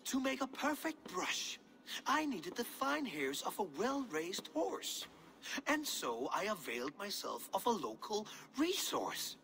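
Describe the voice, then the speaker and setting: A man's voice: sits high, wildly swooping pitch, a little slow, creaky, bright, forceful tone, slight background noise, exaggerated voice, poor audio. A man playing a vain, pompous, unrepentant village painter in a video game, speaking in a florid, theatrical, indignant way. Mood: self-important reverie. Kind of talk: dramatic video game acting.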